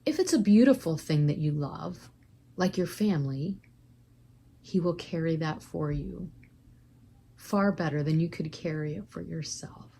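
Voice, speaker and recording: Low-voiced woman leading a guided meditation. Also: unhurried, creaky, mellow, slight background noise.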